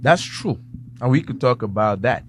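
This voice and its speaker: A man's voice: mid-range voice, expressive, moderate pace, noisy background. A man as a tax and finance guest, patiently walking through examples step by step in an interview. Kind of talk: explanatory interview talk.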